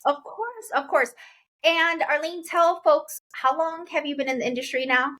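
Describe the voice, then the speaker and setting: A woman in her forties hosts a relaxed interview, her voice bright and high. She talks lively and warmly repeats what her guest says. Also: moderate pace. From Chicago. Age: 40s. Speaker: host. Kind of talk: casual interview chat.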